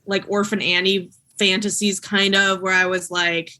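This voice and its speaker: A woman in her late thirties, a guest on a podcast, telling stories slowly with dry jokes. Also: medium pitch, flat delivery, bright, forceful tone. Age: late 30s. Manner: drawling, slow storytelling with dry jokes. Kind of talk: casual chat.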